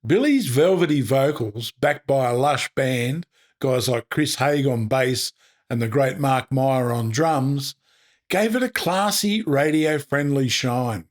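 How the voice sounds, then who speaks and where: A man's voice: mid-range voice, slow. An Australian man presenting music history in upbeat, colloquial scripted narration. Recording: music playing behind. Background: background music.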